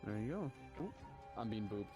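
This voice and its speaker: A man's voice: lowish voice, fairly quick. Man chatting casually over music. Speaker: role-play participant. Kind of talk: casual in-character role-play chat.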